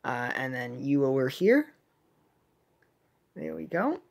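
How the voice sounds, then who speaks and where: A game streamer giving casual, halting commentary, rambling and half talking to themselves. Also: mid-range voice, very animated, vocal fry.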